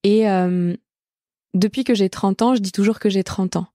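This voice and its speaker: Mellow-voiced woman hosting a podcast. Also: medium pitch, fairly even intonation, normal speed, no echo, studio quality. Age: late 30s. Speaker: podcast host. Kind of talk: podcast intro and intimate conversation.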